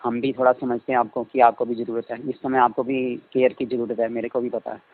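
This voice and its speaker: Male actor for a coaxing, flirtatious stepson in a phone-call drama; casual, mid-pitched, narrow telephone sound. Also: level tone, brisk, lightly acted. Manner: suggestive, coaxing, hesitant. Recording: tinny narrow-band phone line.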